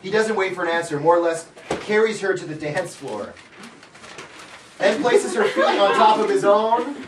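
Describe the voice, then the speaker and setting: A man narrating stage directions in a comedic amateur script reading, animated and amused, breaking off into asides. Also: high-pitched, normal speed. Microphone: far camera. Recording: echoey, noisy room with crowd, distant.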